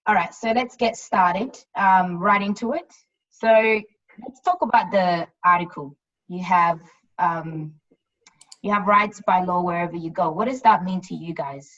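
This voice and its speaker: A woman from Burundi hosting a panel discussion, relaxed and encouraging as she passes questions around. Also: fairly low pitch, measured pace, recorded from a distance. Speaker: discussion moderator, youth programs coordinator. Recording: dull lo-fi sound from off-mic.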